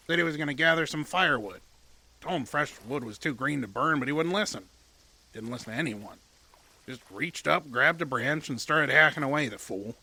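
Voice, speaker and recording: A man's voice: fairly high pitch, creaky, pushed and bright, husky, heavily acted. A man playing Possum Jack, a meek backwoods man. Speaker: voice actor. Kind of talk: audio drama acting.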